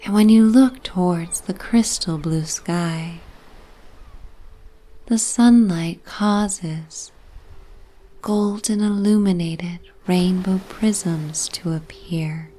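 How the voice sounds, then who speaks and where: A woman narrating a bedtime sleep story in a soft, breathy, half-whispered, soothing ASMR manner. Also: on the low side, drawn-out words.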